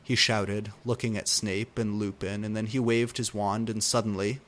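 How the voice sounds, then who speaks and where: A man's voice: lowish voice, level tone, moderate pace, fairly bright tone. A man reading fan fiction aloud in a theatrical, mock-serious way, taking punctuation and typos literally. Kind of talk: dramatic comedic read-aloud of fan fiction.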